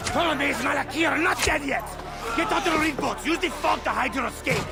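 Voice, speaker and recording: Gravelly, high-pitched defiant sea captain. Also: male voice, a little fast, over loud music. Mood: commanding, urgent. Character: defiant gruff sea captain shouting orders in battle. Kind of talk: dramatic video game acting.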